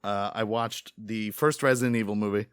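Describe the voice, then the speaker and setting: Low-voiced man chatting, dry recording. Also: steady tone, normal speed, clean tone, no echo. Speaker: podcast host leading the discussion. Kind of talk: casual chat.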